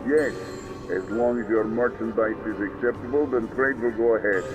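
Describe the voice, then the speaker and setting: Male actor as terse arms dealer, low pitch, businesslike, clipped phrases, music underneath. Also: steady tone, normal speed, highs cut off, close to an everyday voice. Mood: stern, businesslike. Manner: clipped, terse, formal broken English.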